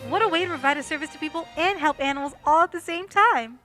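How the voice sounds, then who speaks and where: A woman's voice: high-pitched, fast, sharp tone, no echo. A woman announcer reading a scripted announcement. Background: music.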